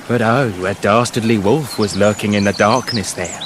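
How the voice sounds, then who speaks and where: A man's voice: on the low side, normal speed, noisy background, natural voice. An actor playing a fussy, self-important writer narrating his fairy tale in a comic radio play, florid and testy. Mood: florid, dramatic.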